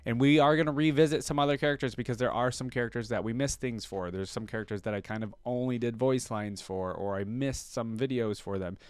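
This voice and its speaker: A young man with a clean, smooth voice gives casual reaction commentary. He rambles informally like a fan, at an average pitch, recorded close and dry. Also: fairly even intonation, a little fast, hardly any pauses, no room sound. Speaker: YouTube reaction host.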